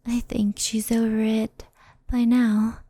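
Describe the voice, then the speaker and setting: A woman playing a gentle, anxious, centuries-old fox spirit in an ASMR roleplay, speaking softly and often whispering close to the microphone. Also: on the high side, little pitch movement, dry recording. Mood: sorrowful, hushed. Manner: soft, breathy, often whispered, unhurried.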